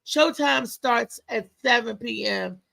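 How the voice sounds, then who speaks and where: A woman hosting a casual live show as a comedian, talking in a loud, lively, chatty way with lots of exclamations. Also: a little high, takes it slowly, gravelly. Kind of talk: casual live-stream chat and promotion.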